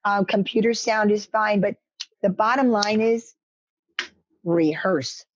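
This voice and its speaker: A woman's voice: mid-range voice, slow, lo-fi sound, a little hiss or hum. A woman from New York with a New York accent, giving media-training advice to participants on a video-call talk show, coaching them by name. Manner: coaching, direct, addresses attendees by name. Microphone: headset on a video call.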